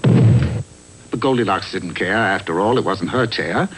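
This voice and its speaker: A man's voice: sits low, unhurried, background noise. A man narrating a comic fairy tale in a wry, theatrical storyteller's manner, from a script.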